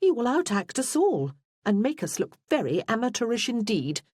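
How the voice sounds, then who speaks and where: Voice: mid-range voice, sing-song, moderate pace, a little mellow, no echo, clean studio sound, pitched above the performer's own voice. A narrator voicing a haughty, outspoken aristocratic woman with a pointed manner in a period romance audiobook. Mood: haughty, teasing.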